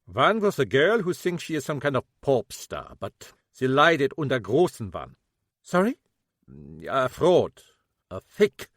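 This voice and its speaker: A man voicing a gruff old German cobbler with broken English and a German accent. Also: medium pitch, very animated, measured pace, fairly bright tone, dry recording, studio quality, voice pushed up. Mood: prickly. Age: older. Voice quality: clipped broken English, prickly.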